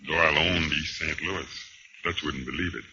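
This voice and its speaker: An actor playing a laconic American pal with a husky, gravel-edged voice and dry, clipped delivery, in a muffled mid-century radio drama. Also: male voice, a bass voice, moderate pace, pushed and bright, slight background noise, heavily acted. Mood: wry. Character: laconic, wry male bar-stand pal. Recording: muffled vintage radio recording.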